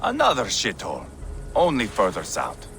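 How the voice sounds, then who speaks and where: An actor playing a brash, bloodthirsty Viking warrior in a game, sneering and crude with foul-mouthed swagger. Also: male voice, on the low side, huge pitch swings, moderate pace, creaky, a bit bright, faint music behind, full character voice. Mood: sardonic.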